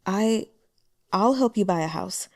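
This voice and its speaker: A woman reading aloud and voicing a conflicted young man who speaks haltingly and dully. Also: medium pitch, expressive, a little mellow, no room sound, clean studio sound. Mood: dull. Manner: halting and dull. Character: guilt-torn young male cultivator, infatuated.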